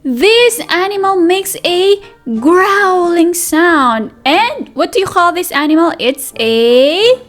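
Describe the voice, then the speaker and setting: A woman's voice: extremely high pitch, lively intonation, takes it slowly, soft background music. A woman reading aloud as a preschool teacher, addressing small children in a sing-song manner with questions and repetition. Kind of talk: interactive children's read-aloud lesson.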